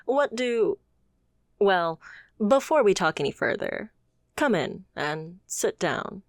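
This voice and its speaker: A woman's voice: mid-range voice, lively intonation, slow, dry recording, studio quality. A woman playing a confident, haughty supervillain boss in a scripted ASMR roleplay, speaking with teasing, condescending poise. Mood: haughty, brisk. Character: confident female supervillain boss, haughty yet playfully amused.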